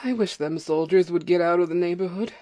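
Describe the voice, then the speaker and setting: Woman acting low-voiced, fretful poor farm wife. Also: a little fast, dark tone, natural voice. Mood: fearful, anxious. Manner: folksy rustic dialect, fretful. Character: overworked, nervous, complaining poor farm wife. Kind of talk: amateur audio play acting.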